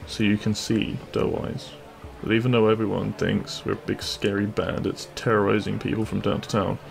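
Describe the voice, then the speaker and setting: A man playing a cheeky, kind-hearted bandit prince in an intimate audio roleplay, with a gravelly voice and a teasing, reassuring manner. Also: lowish voice, little pitch movement, moderate pace, faint music behind. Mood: earnest.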